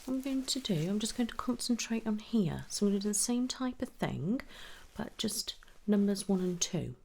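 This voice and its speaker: A woman speaking softly and reassuringly, often slipping into a whisper, as she plays a doctor in an ASMR exam roleplay. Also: medium pitch, expressive, normal speed, gravelly, slight background noise. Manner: soft-spoken, reassuring, often drops into a whisper. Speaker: ASMR host role-playing a doctor.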